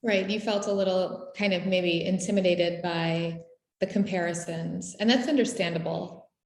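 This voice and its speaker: A woman's voice: fairly low pitch, fairly even intonation, moderate pace, vocal fry, darkish tone, roomy sound. A woman curator interviewing an artist in a virtual conversation, supportive and reflective. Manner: supportive, reflective, asks open questions. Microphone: video call.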